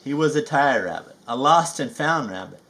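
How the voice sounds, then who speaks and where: A man reading a children's story aloud with folksy, chatty asides and drawn-out emphasis. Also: medium pitch, expressive, fairly bright tone, low-quality recording. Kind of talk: animated read-aloud narration with chatty asides.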